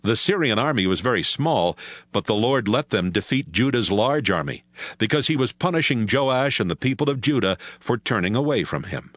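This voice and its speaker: A man narrating an audio Bible drama from a script in a measured storytelling manner. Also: medium pitch, lively intonation, dry recording.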